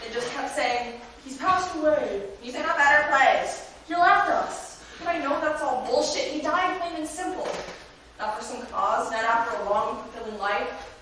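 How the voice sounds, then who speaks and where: Lady acting blunt earnest stage character, bright voice, very echoey and distant. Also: high voice, moderate pace. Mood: earnest, consoling. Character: feisty, blunt yet consoling resident of troubled TV street. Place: staged reading in an echoey room. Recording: echoey room, distant mic, faint noise.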